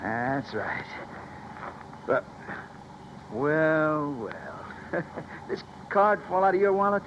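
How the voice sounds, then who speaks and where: An American actor playing a cocky, menacing armed robber who barks orders in gangster slang, in a 1950s radio crime drama. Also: male voice, a little high, sing-song, drawn-out words, full character voice. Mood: gloating. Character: menacing armed stick-up man, cocky and gloating. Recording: noisy muffled vintage radio recording.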